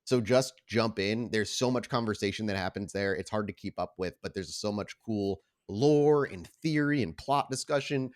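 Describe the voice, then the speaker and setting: Low-voiced man hosting a roleplay podcast. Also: normal speed, hardly any pauses. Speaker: podcast host and storyteller. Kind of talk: casual show announcements and tabletop game narration.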